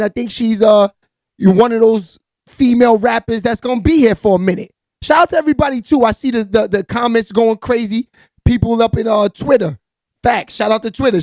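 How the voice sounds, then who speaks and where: A man hosts a live hip-hop gossip stream. He talks in a hyped, slangy way and keeps shouting out his viewers. His voice sits close and dry, with no room sound around it. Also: high-pitched, no echo. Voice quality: raspy. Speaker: live-stream entertainment news host.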